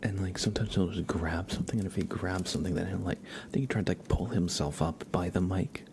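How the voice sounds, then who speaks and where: A man hosting an ASMR livestream, chatting casually and gently with viewers in a soft, breathy voice that often drops into a whisper, close to the microphone. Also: sits low, quick pace, a little hiss or hum. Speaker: livestream host.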